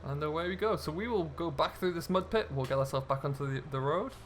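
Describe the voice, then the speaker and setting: A man's voice: fairly high pitch, fast, slight background noise. A man hosting a gaming video, giving casual, chatty role-play commentary and talking himself through the driving as he plays.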